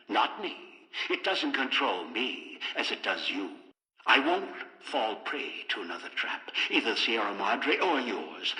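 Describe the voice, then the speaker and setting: An older actor playing a cold, paranoid, scheming old man in a video game, with deliberate, halting, contemptuous delivery. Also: medium pitch, bright, forceful tone, roomy sound, big-room sound, heavily acted, rough recording. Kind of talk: dramatic video game voice acting.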